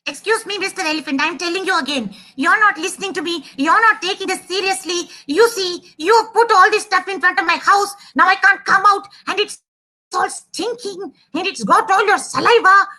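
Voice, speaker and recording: A woman's voice: very high-pitched, moderate pace, sharp tone, voice pushed up. A woman voicing a tiny, indignant male mouse who scolds and sputters in a children's storybook read-aloud. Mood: exasperated.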